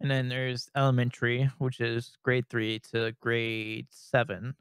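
A young man from Canada chatting as a podcast co-host, rambling with many restarts and nervous laughs, with a fairly high-sounding voice. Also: little pitch movement, unhurried, fairly bright tone, clear, resonant tone, dry recording, studio quality. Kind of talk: casual podcast chat.

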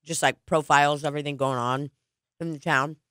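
A woman in her late twenties rambles through casual stories on a podcast, her voice bright and forward. She talks between bites in a small studio, close to a broadcast mic. The sound is dry, with no room echo. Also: sits low, steady tone, brisk, smooth. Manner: rambling, laid-back storytelling, eating while talking. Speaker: podcast co-host. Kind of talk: casual chat. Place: small studio with red curtains. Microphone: studio broadcast mic with headphones.

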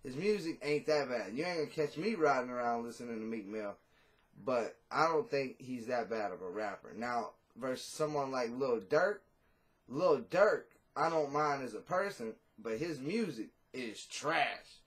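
A man's voice: medium pitch, lively intonation, moderate pace, fairly bright tone. A man in his thirties, a rapper talking casually and bluntly in an interview, cursing freely, on a video call from a small room at home. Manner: blunt, profane, laid-back.

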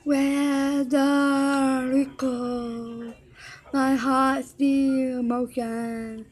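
A young woman singing songs loosely and loudly into a close webcam in an office room, shouting and chanting at times. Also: high-pitched, flat delivery, very slow. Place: indoor office room with desks and computers. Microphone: webcam at close range, with headphones on.

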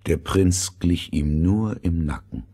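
A man narrating a fairy tale from a script as a storyteller, with a gravelly, husky voice. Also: low voice, expressive, takes it slowly, mellow.

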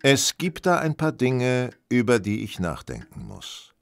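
An actor playing a cool, courteous, brooding master detective in a German audio drama, formal and reserved. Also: male voice, medium pitch, huge pitch swings, a little slow, vocal fry, dry recording, exaggerated voice. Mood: pensive.

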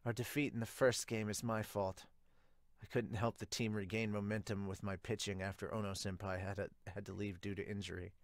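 A man's voice: on the low side, little pitch movement, brisk, dry recording, pitched below the performer's own voice, lightly acted. An earnest, solemn schoolboy athlete from a manga, voiced by a man. He is polite and subdued, serious in every line, with a dry close sound.

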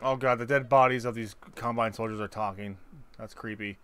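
Casually bantering man, a bit halting. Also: medium pitch, fairly even intonation, a bit bright, slight background noise. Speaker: co-op gaming video player.

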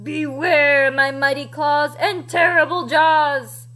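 A woman's voice: steady tone, takes it slowly, sharp tone, exaggerated voice. A woman voicing a big, fierce, boastful Tyrannosaurus with exaggerated, roaring delivery in a children's storybook. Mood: boastful, threatening. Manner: roaring, booming, exaggerated threats and yelps. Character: big fierce boastful male Tyrannosaurus, easily hurt and flustered. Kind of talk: animated children's picture-book read-aloud.

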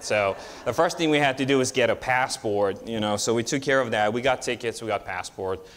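A man's voice: mid-range voice, fairly quick, slight background noise. Romanian-accented man preaching from a pulpit. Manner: chatty, storytelling, with frequent restarts and growing excitement. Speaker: guest preacher. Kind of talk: sermon. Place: church sanctuary, at a pulpit.

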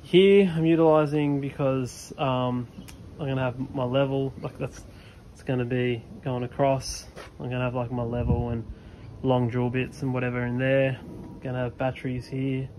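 Rambling young tradesman, flat-voiced. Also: medium pitch, moderate pace, a little hiss or hum, poor audio. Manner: rambling, many ums and fillers. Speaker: HVAC technician vlogger. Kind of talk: casual walkthrough vlog. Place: outdoors beside a van in a driveway.

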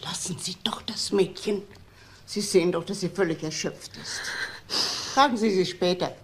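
A woman's voice: fairly low pitch, normal speed, slight background noise, close to an everyday voice. An actress playing a bereaved, commanding older mother in a crime radio drama, speaking in short questions and firm sentences. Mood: firm, protective.

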